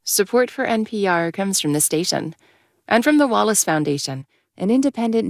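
A woman announcer reading sponsor credits in a measured, even way. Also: on the low side, gravelly, dry recording, clean studio sound. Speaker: radio announcer.

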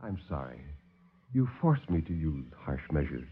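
A man playing a calm, coldly polite doctor villain in a 1940s radio drama. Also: a bass voice, husky, pitched below the performer's own voice, heavily acted. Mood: suave menace. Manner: courteous, unhurried, coldly polite. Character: suave, calm, menacing male doctor villain. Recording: dull old radio broadcast.

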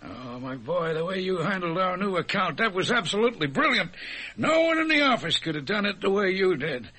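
An actor playing a jovial, fatherly older boss in a radio drama, with a husky voice and a reassuring manner. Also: high-pitched, sing-song, fairly quick, pushed and bright, heavily acted. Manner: chuckling, reassuring. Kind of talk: old-time radio drama acting.

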